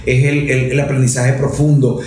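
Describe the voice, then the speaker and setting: A man's voice: mid-range voice, level tone, moderate pace, darkish tone. A man giving a conversational political talk, fluent but full of restarts, fillers and rhetorical questions. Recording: very echoey room.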